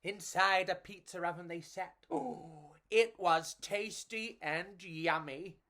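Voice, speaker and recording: A woman reading to children as a storyteller, with a theatrical, playful and measured delivery. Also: on the low side, a bit bright.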